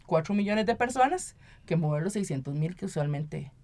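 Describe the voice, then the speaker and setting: A woman's voice: fairly low pitch, animated, normal speed, darkish tone. Costa Rican woman explaining in an interview. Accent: Costa Rican Spanish. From Costa Rica. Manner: explanatory, often ends phrases with a checking tag question. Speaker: interview guest, local councillor and activist. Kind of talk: conversational interview.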